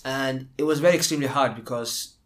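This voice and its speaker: A man's voice: medium pitch, quick pace. A young man from Abu Dhabi with an Indian-tinged English accent, telling his story in a casual interview, rambling with many restarts. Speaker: guest.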